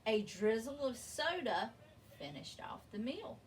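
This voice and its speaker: A woman reading a children's picture book aloud in an expressive, deliberate way, drawing out words for emphasis. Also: a little high. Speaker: storybook reader. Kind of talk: read-aloud children's story narration. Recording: distant mic with faint background noise.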